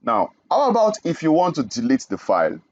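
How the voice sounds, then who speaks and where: A man's voice: a little high, wildly swooping pitch, a little fast, lo-fi sound. A young man from Nigeria teaching programming in a screen-recorded tutorial, explaining each step and checking in with "okay?". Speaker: programming instructor.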